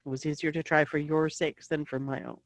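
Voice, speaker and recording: A woman acting a gentle, devout middle-aged mother in an audio play, giving tender, measured counsel. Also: sits low, flat delivery, no echo, lightly acted. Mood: tender, reflective.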